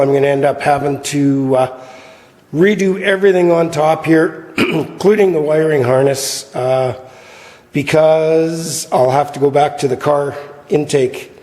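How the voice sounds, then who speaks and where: Slightly hoarse man in an echoey garage. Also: fairly high pitch. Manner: relaxed, rambling, many "uh" fillers. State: slightly hoarse, clearing his throat. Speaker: garage builder showing his project. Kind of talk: casual workshop vlog. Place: large shop garage, indoors. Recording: reverberant garage with long echo.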